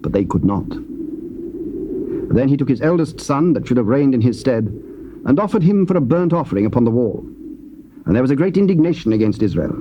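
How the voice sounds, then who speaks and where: A man narrating scripture in a measured, solemn reading style. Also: medium pitch, a little hiss or hum. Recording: muffled old cassette tape.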